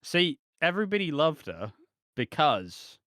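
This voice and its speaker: A man's voice: on the high side, very animated, unhurried, fairly bright tone, no echo. Rambling British man, dry close sound. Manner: rambling, opinionated, frequent restarts. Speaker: podcast co-host. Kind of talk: casual chat.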